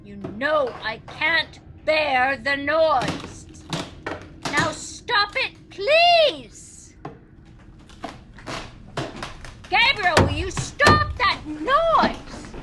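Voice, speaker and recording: An actress playing a nagging, self-pitying, fearful wife in a melodramatic 1940s radio drama style, shrill and complaining. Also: female voice, very animated, extremely slow, noisy background, heavily acted. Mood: querulous, demanding. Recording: old 1940s radio recording, loud music.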